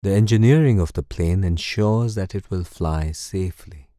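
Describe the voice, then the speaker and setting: A man leading a guided meditation in a calm, soothing, measured way. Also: low-pitched, dry recording, studio quality. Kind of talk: slow guided meditation narration.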